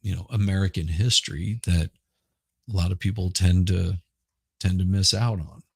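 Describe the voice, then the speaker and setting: A middle-aged man chatting casually as a podcast host, hoarse and rambling, often repeating words and restarting. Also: sits low, steady tone, moderate pace, no room sound, studio quality. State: hoarse from smoke. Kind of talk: casual livestream chat.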